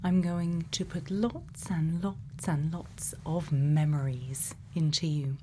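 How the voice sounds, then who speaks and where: A woman playing a gentle, quietly kind scientist in a soft, often whispered ASMR roleplay, soothing and reassuring with many pauses. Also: low-pitched, a little hiss or hum. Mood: tender, reassuring. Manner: slow, soothing, pausing, reassuring. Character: gentle female scientist, quietly kind, programming a robot friend.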